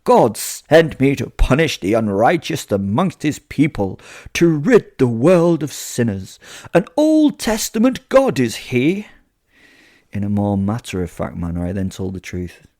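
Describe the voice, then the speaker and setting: A man's voice: medium pitch, big pitch swings, unhurried, a little mellow, no room sound, clean studio sound. A man voicing a sadistic, gleeful werewolf killer who tells horror audio drama in the first person, with flippant, theatrical asides. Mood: grandiose, theatrical, then dry, confiding. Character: sadistic, gleeful male werewolf serial killer narrating his kills.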